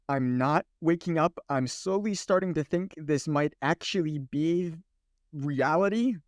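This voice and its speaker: A man playing a skeptical, bewildered office worker in his twenties in an audio play, halting and exasperated. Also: a little high, a little mellow, no room sound, clean studio sound. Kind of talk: naturalistic audio play acting.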